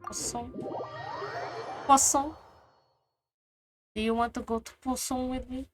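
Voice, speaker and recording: A woman's voice: sits high, little pitch movement, slow pace, faint music behind. A woman streaming a game, chatting and reacting casually in slangy, colloquial speech. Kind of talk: casual reactions and chat during play.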